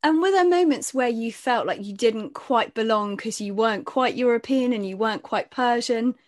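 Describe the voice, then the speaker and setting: A woman hosting an interview podcast, reading a clear scripted intro and then asking plain questions. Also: fairly high pitch, normal speed, dry recording, studio quality. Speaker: host.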